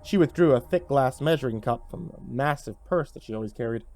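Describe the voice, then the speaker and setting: A man giving a theatrical, expressive first-person narration of a horror story, acting out the dialogue. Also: medium pitch, fairly quick, dry recording.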